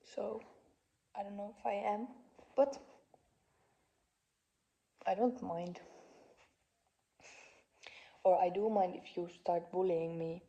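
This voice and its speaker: A woman in her twenties with a Finnish accent, talking in a soft, halting, unscripted vlog monologue from a couch at home. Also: medium pitch, steady tone, creaky, low-quality recording. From Finland. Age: 20s. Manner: soft-spoken, halting, frequent fillers. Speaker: vlogger. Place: parents' living room couch.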